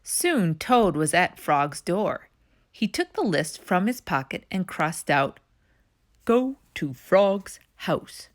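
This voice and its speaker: A woman reading a children's story aloud as narrator, in an expressive storytime style. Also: lowish voice, slow. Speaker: storytime reader.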